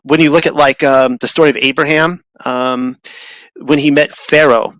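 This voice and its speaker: A man's voice: mid-range voice, fairly even intonation, moderate pace, a bit bright, dry recording, low-quality recording. A man talking in a casual, rambling way, often restarting and repeating words as a guest. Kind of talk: casual conversational talk.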